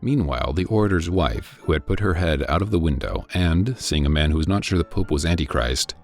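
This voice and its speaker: A man reading a classic novel aloud in scripted narration, with a measured, dry delivery. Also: low voice, lively intonation, faint music behind. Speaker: audiobook reader.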